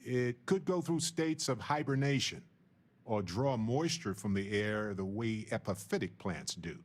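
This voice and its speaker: An actor playing a witty, sentimental space explorer and scientist in a video game, with a wry, playful, musing manner. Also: male voice, on the low side, animated, a little slow. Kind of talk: video game dialogue acting.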